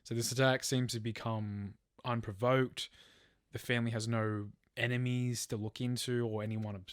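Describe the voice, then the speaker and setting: A man's voice: medium pitch, level tone, moderate pace, no room sound, clean studio sound. A man telling a true crime story as a podcast host, partly from a script. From Australia.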